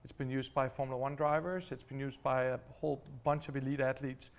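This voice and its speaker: A man's voice: mid-range voice, flat delivery, fairly quick. A man from Denmark, a startup founder being interviewed, explaining things step by step with many restarts and fillers. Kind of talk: interview answers.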